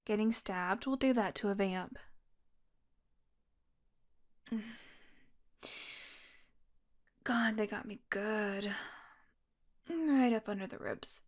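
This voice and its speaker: A sardonic, flirty vampire woman, played by a voice actress for an intimate audio roleplay. Her voice is fairly high and she takes her time, teasing like a casual predator. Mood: wounded, pained.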